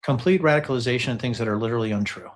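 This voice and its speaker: A man's voice: medium pitch, rapid-fire. A man hosting a casual live political discussion, talking emphatically and often repeating phrases to drive his point. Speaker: host commentator analyzing political text messages.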